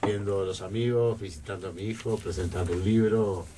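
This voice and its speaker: A Uruguayan man, a guest poet, speaking in a measured, reflective way in a radio studio interview. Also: deep voice, fairly even intonation, noisy background.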